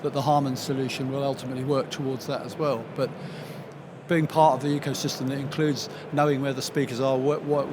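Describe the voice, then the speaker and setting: Guy on a panel explaining tech stuff, middle pitch, busy noisy venue. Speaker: expert panelist. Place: live trade show seminar. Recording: clear background noise.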